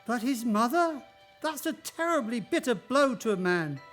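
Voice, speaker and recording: Woman as bossy senior angel in a radio play, a bit high pitched, scolding, some music behind. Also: sing-song, moderate pace. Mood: stern, reproving. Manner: authoritative, admonishing. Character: stern heavenly superior angel, questioning and scolding.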